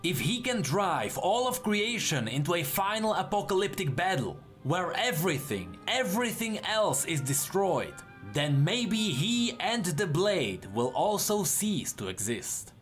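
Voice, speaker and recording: A man's voice: high voice, sing-song, measured pace, a bit bright, faint music behind. A man giving a dramatic scripted narration of fantasy lore.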